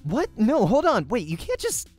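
Man voicing a brusque young woman gamer, swooping pitch. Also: wildly swooping pitch, moderate pace, darkish tone, soft background music, heavily acted. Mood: impatient. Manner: curt, sarcastic.

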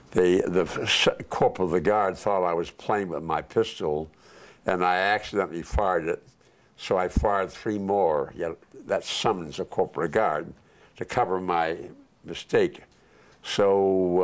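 An older man telling war memories in a casual interview, unhurried and rambling with many fillers, recorded on a lapel mic in a living room. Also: low voice. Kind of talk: casual oral history interview. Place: living room, seated on couch.

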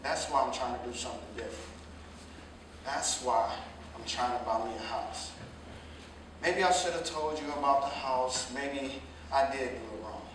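A man's voice: medium pitch, normal speed, pauses often, natural voice. A man acting an earnest, defensive young working man pleading his case in a staged drama reading, with emphatic, impassioned vernacular delivery. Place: live staged reading, recorded from a distance indoors. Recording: very echoey room, distant mic, faint noise.

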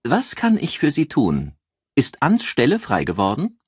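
Guy doing a brash lady journalist, husky, teasing, mock-formal, pitch jumps all over, detective audiobook. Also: huge pitch swings, measured pace, darkish tone, no echo, studio quality, exaggerated voice. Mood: elated, teasing. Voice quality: throaty. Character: exuberant, teasing, brash female newspaper editor.

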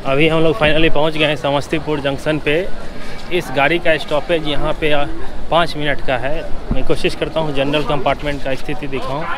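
A man's voice: level tone, moderate pace. A man in his thirties narrating a train travel vlog to camera in an informative, explanatory way. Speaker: travel vlogger. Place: on a moving train and at open railway platforms. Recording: loud train, crowd and outdoor noise. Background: train rumble, station crowd.

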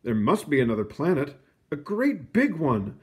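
Man as a declamatory old-time astronomer, lively pitch. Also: moderate pace. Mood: pondering. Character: self-assured male astronomer of the early 1900s.

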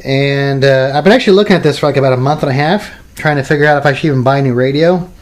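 A man's voice: medium pitch, rapid-fire. A man in his thirties casually showing off radio gear at his home workbench, rambling with many restarts and fillers. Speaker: radio hobbyist video maker. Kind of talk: casual show-and-tell demo.

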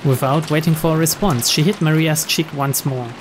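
A man's voice: fairly high pitch, steady tone, unhurried, over music. A man reading scripted story narration aloud. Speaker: reader of on-screen story text. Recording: clear rain noise behind.

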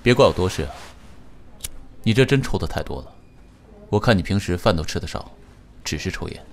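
An actor playing a composed, calculating, wealthy chairman in a radio drama, measured and formal. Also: low-pitched, lively intonation, a little hiss or hum. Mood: calm, dry. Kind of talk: Chinese radio drama acting.

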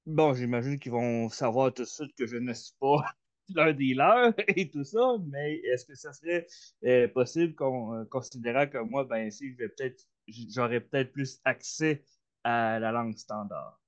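Man, hesitant, many fillers, video call, muffled sound. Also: mid-range voice. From Canada. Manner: halting, many fillers and restarts. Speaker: audience member asking a question. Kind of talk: hesitant spontaneous question. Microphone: computer mic on a video call. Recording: slightly dull video-call audio.

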